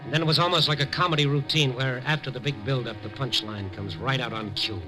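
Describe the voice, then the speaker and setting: A steady male reporter, acted by a man from 1950s radio drama. His voice sits at an ordinary male pitch. He talks and narrates briskly, with dry wit and an unruffled manner. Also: over music, close to an everyday voice, rough recording. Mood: wry narration. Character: wry, steady male newspaper reporter of nighttime city. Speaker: lead character and narrator.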